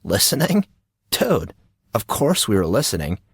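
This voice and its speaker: A man voicing a teasing, excitable teenage schoolboy in an audio drama, questioning and exclaiming with mock drama. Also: lowish voice, a little slow, breathy edge, no echo, studio quality, heavily acted. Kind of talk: audio drama character dialogue.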